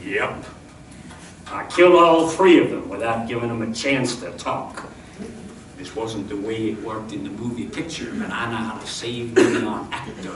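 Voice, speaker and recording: A man playing a dryly boastful cowboy lawman who narrates his showdown in deadpan old-time radio comedy style. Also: lowish voice, normal speed, lightly acted. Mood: mock-solemn. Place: live stage radio show in a room. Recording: echoey stage, distant mic, clear noise.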